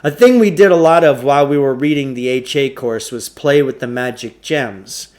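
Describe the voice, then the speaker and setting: A man's voice: medium pitch, normal speed, darkish tone. A man reading a children's novel aloud as a storybook narrator.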